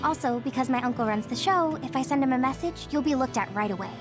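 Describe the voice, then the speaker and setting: A woman's voice: sits high, animated, quick pace, music in the background. A woman playing a caring, upbeat young female squad mate in a video game, friendly and direct. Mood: helpful. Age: young adult. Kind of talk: video game cutscene voice acting.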